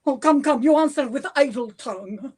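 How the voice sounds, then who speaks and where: A woman's voice: sits high, normal speed, darkish tone, close to an everyday voice. A woman playing Queen Gertrude, frightened and pleading, in a comic stage performance in a theater.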